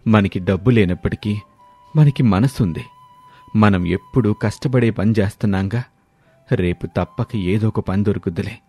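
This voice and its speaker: A man playing a poor, hardworking husband, speaking earnestly and gently in a dramatized story. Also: fairly low pitch, moderate pace, slightly rough, soft background music.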